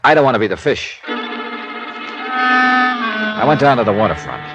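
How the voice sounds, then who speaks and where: A man's voice: lowish voice, sing-song, normal speed, highs cut off, loud music behind the voice. An American man playing a hard-boiled, wry investigator in a vintage radio drama, with a clipped, dry way of talking. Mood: matter-of-fact narration. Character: hard-boiled, wry American male insurance investigator narrating his case. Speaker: lead actor and narrator. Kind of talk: old-time radio detective acting. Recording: noisy vintage radio recording.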